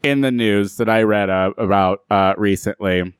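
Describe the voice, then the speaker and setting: A man's voice: on the low side, normal speed, clean tone, no echo. Campy podcast host guy, late 20s, teasing, dry close sound. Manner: campy, teasing, laughs often. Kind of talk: casual chat.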